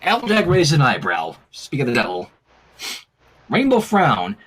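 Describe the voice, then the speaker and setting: A man hosting a stream, reading fan fiction aloud in an animated style with casual asides. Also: on the high side, a little slow, slightly rough.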